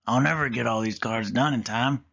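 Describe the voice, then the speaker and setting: A man's voice: mid-range voice, normal speed, gravelly, a bit bright, lo-fi sound. A man voicing an easygoing young boy cat, close to his own speaking voice. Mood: plain.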